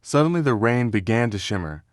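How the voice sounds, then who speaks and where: A man narrating a scripted children's story in a measured, dramatic storytelling manner. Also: mid-range voice, lively intonation, no echo, studio quality.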